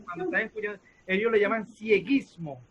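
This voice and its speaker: A man speaks as a panelist. He builds his sentences haltingly, feeling for each word, and the audio is a little dull. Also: high-pitched, sing-song, a little hiss or hum. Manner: halting, searches for words. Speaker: theater practitioner and panelist. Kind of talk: casual panel discussion.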